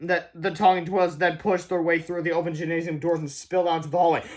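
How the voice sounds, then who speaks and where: High-pitched man reading a children's book. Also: little pitch movement, fast talker, a crowd in the background. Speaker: storybook reader. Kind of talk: read-aloud children's book narration.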